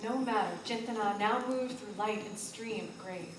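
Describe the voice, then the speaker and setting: A woman's voice: medium pitch, normal speed, background noise. A woman giving a live dramatic reading on a theater stage, rhythmic and intense. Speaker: stage reader. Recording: echoey live theater, distant mic. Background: music.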